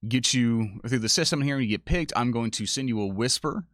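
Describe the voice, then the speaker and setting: A man's voice: medium pitch, fairly quick, a bit bright, no echo, clean studio sound. A man hosting a casual livestream, chatting to viewers in an effusive, rambling and thankful way. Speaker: stream host.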